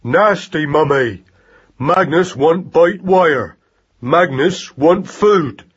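A man voicing a greedy, simple-minded young boy mouse who talks in halting baby talk. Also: a little high, lively intonation, husky, highs cut off, pitched above the performer's own voice, heavily acted. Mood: sulky.

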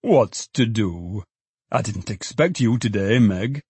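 A man's voice: fairly low pitch, lively intonation, takes it slowly, a little mellow, slightly rough, dry recording, full character voice. Man playing a folksy, eager old father. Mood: surprised, fond. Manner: exclamatory, musing, folksy. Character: poor, withered old father, good-humoured and eager.

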